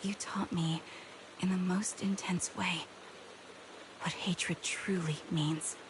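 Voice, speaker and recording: Solemn, low-voiced young sniper woman. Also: fairly even intonation, slow, noisy background. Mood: sorrowful, resolute. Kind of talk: dramatic game voice acting. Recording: music behind the voice. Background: game music.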